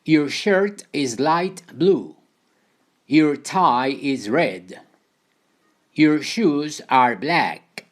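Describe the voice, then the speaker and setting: A man reading a scripted beginner language lesson as a teacher, deliberately delivering short example sentences phrase by phrase. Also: fairly high pitch, lively intonation. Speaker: English teacher.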